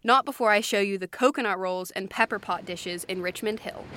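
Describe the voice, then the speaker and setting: A woman podcast host reading scripted narration, introducing each segment clearly. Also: mid-range voice, a bit bright.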